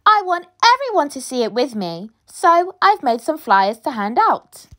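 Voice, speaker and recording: A woman reading a children's picture book aloud with expressive, dramatic storytelling delivery. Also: high voice, a little slow. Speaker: storybook reader.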